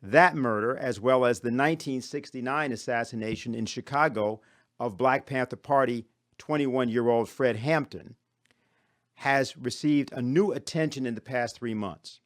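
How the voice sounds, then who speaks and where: Guy reading a serious scripted talk, formal and measured, mid pitch, totally dry no echo. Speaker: conference speaker. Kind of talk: scripted lecture-style presentation.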